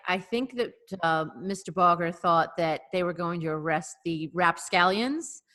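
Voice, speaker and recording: A woman's voice: on the low side, a bit bright. A woman co-hosting a podcast over a video call, telling a story in a hesitant, wry way with many fillers. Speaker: podcast co-host. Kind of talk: casual storytelling chat.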